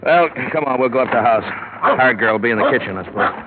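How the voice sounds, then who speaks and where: An actor playing a folksy, plain-spoken small-town sheriff in a radio drama, with a rural Western American accent. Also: male voice, medium pitch, lively intonation, fast, narrow, tinny audio, lightly acted. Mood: practical. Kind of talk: 1950s radio drama acting. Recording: noisy, band-limited vintage radio broadcast.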